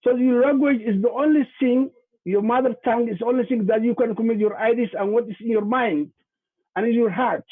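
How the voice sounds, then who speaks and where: A man with a Somali accent, a native Somali speaker, giving a halting presentation over a video call, repeating words and using many fillers. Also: very high-pitched. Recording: tinny phone-like video call audio.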